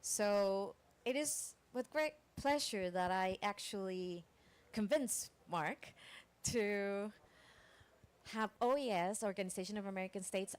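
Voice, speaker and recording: A woman from Costa Rica who moderates a conference panel. Her voice sits at a middle pitch, and she speaks with some hesitation and fillers as she introduces the next speaker.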